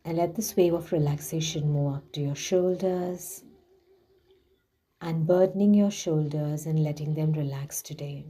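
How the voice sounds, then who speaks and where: Very slow, soft dark-voiced woman guiding relaxation. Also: low voice, fairly even intonation, husky. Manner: soothing, hushed, gently drawn-out phrasing. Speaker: guided meditation host. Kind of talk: guided relaxation visualization. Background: background music.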